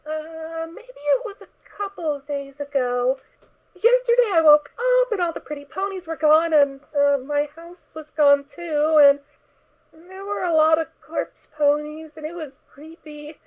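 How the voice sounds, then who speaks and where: A woman's voice: sits high, a little slow. A woman playing a sweet, naive little girl pony in a fan audio drama, with childlike, earnest, hesitant speech. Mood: innocent, chatty. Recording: tinny, narrow phone-like sound.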